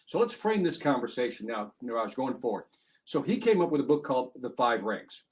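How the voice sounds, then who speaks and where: A man's voice: medium pitch, very animated, brisk. A man hosts a sales podcast, with a voice sitting in an ordinary mid range. He talks loosely and conversationally, restarting his sentences as he goes, and lays his thoughts out in numbered points. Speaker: podcast host. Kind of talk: podcast interview.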